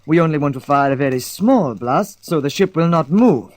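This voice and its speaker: An actor playing an earnest, measured spaceship pilot in an old-fashioned radio drama, speaking formally and precisely. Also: male voice, on the high side, animated, a little mellow, natural voice. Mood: measured, serious. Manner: formal, precise, uncontracted speech.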